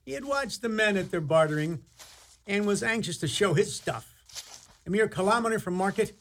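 A man's voice: high-pitched, animated, moderate pace, fairly bright tone, slight background noise. A man playing a genial, theatrical narrator, telling a fairy tale in a radio play. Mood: storytelling. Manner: theatrical, storytelling.

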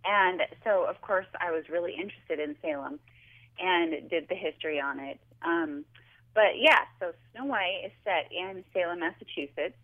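A woman's voice: on the low side, moderate pace. A woman talking informally and chattily as an author guest in a casual interview, heard over a telephone. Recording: tinny, narrow telephone line.

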